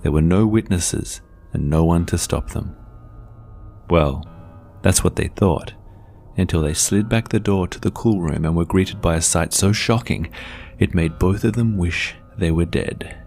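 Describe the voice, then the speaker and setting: A man with a very low voice tells a horror tale as a scripted narration. His delivery is measured and deliberate, with faint music behind him. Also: a little mellow.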